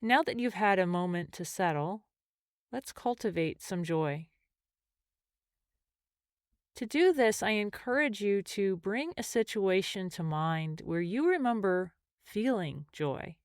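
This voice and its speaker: Smooth-voiced woman guiding a meditation. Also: medium pitch, expressive, no room sound, studio quality. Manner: gentle, measured, with long pauses between phrases. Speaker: mindfulness and meditation teacher. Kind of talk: guided meditation narration.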